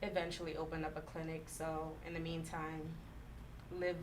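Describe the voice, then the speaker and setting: A woman's voice: sits low, flat delivery, normal speed, noisy background. A woman in her twenties from Mali, speaking in an easygoing way and laughing readily on a discussion panel. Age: 20s.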